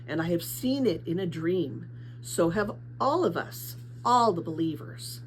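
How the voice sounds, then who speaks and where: A woman's voice: lowish voice, lively intonation, a little slow, a little hiss or hum, voice pushed down, rough recording. A woman voicing a kindly but patronizing female messenger captain in a storybook, soothing and fervent in her belief. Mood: hushed, fervent.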